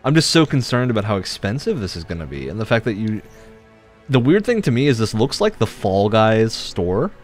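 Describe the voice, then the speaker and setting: A man's voice: fairly low pitch, sing-song, brisk, faint music behind. A man giving casual, chatty co-commentary as he streams a video game.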